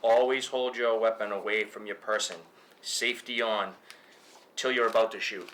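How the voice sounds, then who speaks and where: A man's voice: on the low side, steady tone, normal speed, a bit bright, natural voice, low-quality recording. A man playing a curt, matter-of-fact shooting range attendant in a screenplay table read, giving terse instructions. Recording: faint room background noise.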